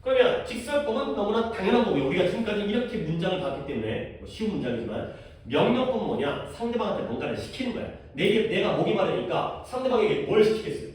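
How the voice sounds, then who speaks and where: A man's voice: high-pitched, expressive, quick pace. Man teaching grammar in an echoey room. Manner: casual explaining, asks students questions. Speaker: English teacher. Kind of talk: classroom grammar lesson. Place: classroom. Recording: echoey classroom from far off.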